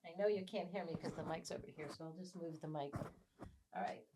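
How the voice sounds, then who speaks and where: A woman in her seventies hosts a relaxed conversation, talking in a lower register. She is chatty and animated and often laughs while she tells stories. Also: fairly quick, background noise. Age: 70s. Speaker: host, mental health coach. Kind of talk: casual interview chat.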